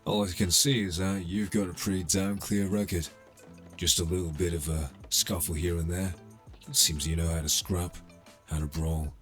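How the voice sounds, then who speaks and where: A man's voice: deep voice, fairly even intonation, moderate pace, fairly bright tone, soft background music. A man playing a cocky, sardonic criminal middleman in a cyberpunk ASMR roleplay, casual and streetwise, teasing as he talks. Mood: businesslike, streetwise.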